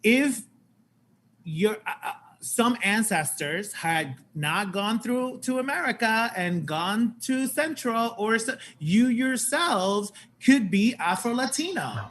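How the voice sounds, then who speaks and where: A man in his thirties talks earnestly on a home video call, leaning into his points with animated emphasis. His voice sits fairly high for a man. A faint hiss runs underneath. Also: slow pace. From Puerto Rican and Colombian heritage. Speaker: show host on panel. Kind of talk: casual panel chat. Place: home room.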